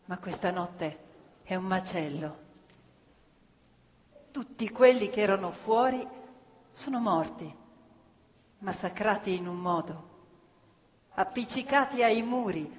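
A woman's voice: medium pitch, natural voice. Actress as a frightened young woman under bombing. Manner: urgent, vivid storytelling that swings into cries. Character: young woman sheltering her mother from wartime bombing. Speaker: stage actress. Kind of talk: live theatre performance. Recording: live stage recording with long reverb.

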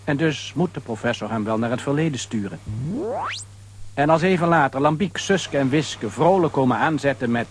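A man narrating a children's audio drama as an animated storyteller. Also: medium pitch, moderate pace, slight background noise.

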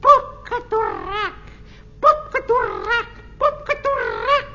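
Conceited know-it-all parrot, very high voice, extremely slow delivery, children's radio play, dull lo-fi recording. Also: bright, forceful tone, exaggerated voice. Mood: squawking. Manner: pompous, drawn-out, self-important. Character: pompous, boastful parrot, wise know-it-all of the forest. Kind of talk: children's audio play acting.

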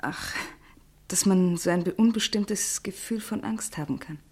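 An actress playing an anxious woman in a German radio play, hushed and hesitant, partly whispering. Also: lowish voice, a little slow, slightly rough. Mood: anxious, brooding. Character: anxious, hesitant woman gripped by vague fear.

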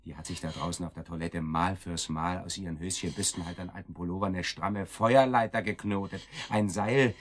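Sneering, husky, deep-voiced male thug. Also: steady tone, brisk, hardly any pauses. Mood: sneering threat. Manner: mocking, menacing, chuckling, taunting. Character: sadistic, sneering male kidnapper thug, cruelly playful. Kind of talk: radio drama acting.

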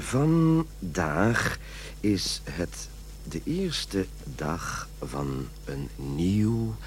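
A radio play character: a pompous, fussy would-be writer. He dictates slowly in a low voice that swings widely in pitch, muttering corrections to himself over a faint hum. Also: heavily acted. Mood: musing, fussy. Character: fussy, self-important henpecked man writing his journal.

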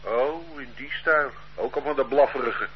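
A man's voice: mid-range voice, a little slow, natural voice. An actor playing an easygoing older man who loiters on the street, chatty and bewildered by accusations in a radio drama. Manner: lively, chatty, homely, protesting. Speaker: suspect. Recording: dull lo-fi radio play recording, faint hiss.

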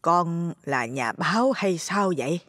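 A man performs an aged ghost woman for a horror story, her thin voice raised high. She taunts in slow, drawn-out phrases, steeped in long reverb. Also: lively intonation, fairly bright tone, clean studio sound. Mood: probing. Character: ghostly old woman on a bus, mocking, eerie.

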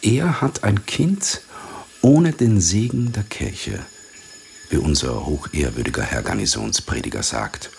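A very deep, gravelly male army captain in a radio play. He is pompous and easily muddled, moralizing in halting, repetitive phrases at a slow pace. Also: expressive, a little mellow, slight background noise, heavily acted. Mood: pompous, indignant. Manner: pompous, halting, repeats himself. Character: pompous, befuddled, moralizing army captain lecturing his subordinate. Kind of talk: theatrical radio drama acting.

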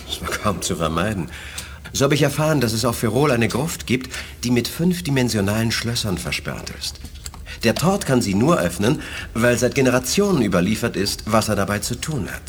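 An actor playing a composed, thoughtful telepath officer with an earnest, respectful manner in a 1980s German radio play. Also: male voice, medium pitch, big pitch swings, moderate pace, slight background noise, close to an everyday voice.

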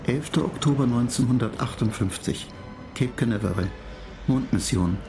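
A cool, condescending computer mind, played by a man in a radio drama. The voice sits at a medium pitch and recites in a measured, emotionless manner, with clearly audible music behind it. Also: close to an everyday voice. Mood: detached. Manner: detached, measured, relentless recital. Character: cool, superior computer mind lecturing human space travellers. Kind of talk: German radio play, recited log of facts.

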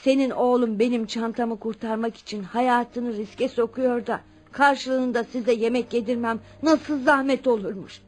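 A husky, kindly older lady. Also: a little high, fairly even intonation, a little slow, hardly any pauses. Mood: warm hospitable. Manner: motherly, addresses others as her children. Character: kindly, insistent older well-off lady living alone. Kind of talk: Turkish radio drama acting.

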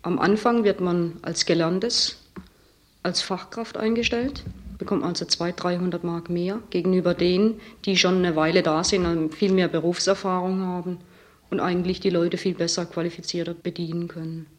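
A woman's voice: lowish voice, fairly even intonation, normal speed. A woman working as a saleswoman, explaining her job in an interview in a matter-of-fact way. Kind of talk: interview answer.

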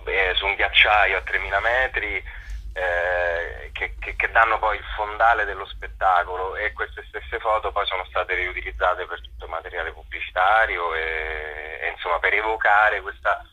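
A man giving spontaneous interview answers as a theatre director, reflective and halting, often rephrasing himself mid-sentence. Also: deep voice, fairly even intonation, bright, forceful tone, breathy edge. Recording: muffled, lo-fi radio phone-in sound.

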